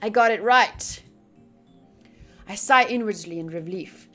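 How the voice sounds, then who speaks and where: Bright-voiced woman streaming a game. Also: medium pitch, a little slow, faint music behind. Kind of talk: casual livestream chat and game read-along.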